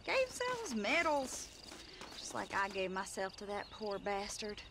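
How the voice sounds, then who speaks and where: A brash Old West woman in a game scene, drawling slowly and bitterly. Her mocking delivery swings widely, with clear outdoor noise behind her. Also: mid-range voice, huge pitch swings. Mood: sultry, bitter. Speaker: game character. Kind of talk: video game cutscene acting. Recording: noisy outdoor game ambience with crickets.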